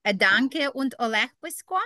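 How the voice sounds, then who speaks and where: A woman teaches a beginner language class over a video call, speaking very slowly and with patient encouragement. Her voice sits a bit high. The sound is dry and close, with no room echo. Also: animated, sharp tone. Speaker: German teacher.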